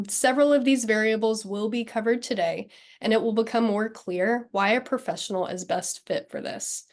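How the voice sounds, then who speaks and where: A woman's voice: fairly high pitch, normal speed. A woman in her thirties from Texas, giving an upbeat, organized webinar presentation. She has a cold, so she sounds slightly nasal. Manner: upbeat and friendly, clear and organized.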